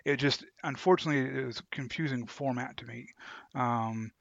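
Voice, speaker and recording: A man co-hosting a podcast, chatting casually and haltingly as he searches for words. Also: medium pitch, gravelly, no echo, lo-fi sound. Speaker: podcast co-host. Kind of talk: casual chat reviewing an audio drama.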